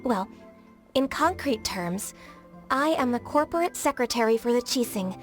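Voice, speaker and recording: An actress playing a dutiful young secretary and emissary in a game, formal, courteous and earnest. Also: female voice, a little high, animated, moderate pace, faint music behind, close to an everyday voice. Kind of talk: English game dub cutscene acting.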